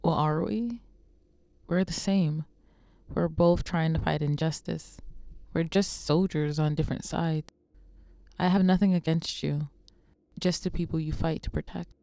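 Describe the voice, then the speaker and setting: A woman's voice: low voice, fairly even intonation, unhurried, creaky, mellow, dry recording. A woman playing a cool, proud, world-weary scarred vigilante in a superhero radio drama. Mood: cool, dismissive.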